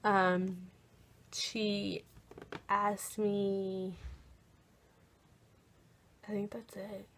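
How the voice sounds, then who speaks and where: A young woman with an American accent talks informally, as if to viewers of her vlog. Her pace is unhurried and her pitch sits at an average level for a woman. She speaks haltingly, pausing to think back over details. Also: little pitch movement, slight background noise. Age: young adult. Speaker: college program applicant vlogger. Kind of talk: casual chatty vlog.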